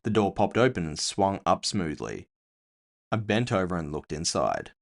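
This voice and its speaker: Deep guy narrating a story in first person, wry, lots of movement in the voice. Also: vocal fry.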